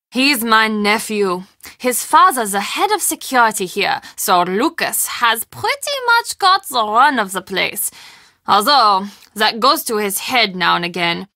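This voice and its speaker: An actress playing a friendly, earnest castle hostess in a video game, confiding and expressive in manner. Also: female voice, mid-range voice, no echo, studio quality. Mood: fond, exasperated. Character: friendly young German castle hostess, earnest, spooked, chatty. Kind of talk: video game dialogue acting.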